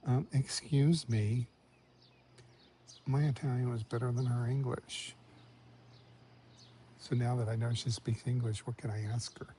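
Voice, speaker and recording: Male travel vlogger, relaxed warm tone, casual walk-and-talk outdoors with quiet whispered remarks. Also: medium pitch, measured pace, pauses often, slight background noise. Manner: chatty, muttering asides, sometimes whispering. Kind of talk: casual walking vlog commentary. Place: outdoors in a village street and on a café terrace.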